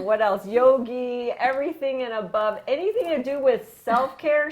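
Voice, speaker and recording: A woman speaks as the host of a conversational interview show. Her delivery is effusive and emphatic, addressed to both her guest and her viewers. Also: fairly high pitch. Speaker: host of a women's wellness show.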